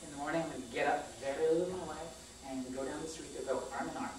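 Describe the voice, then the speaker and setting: A woman's voice: low voice, steady tone, fast talker, very echoey. Woman, thirties, staged personal storytelling, distant mic, faint background noise. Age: 30s. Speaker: performer at a staged reading. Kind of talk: scripted personal storytelling read aloud. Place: small indoor theater stage. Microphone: far camera microphone.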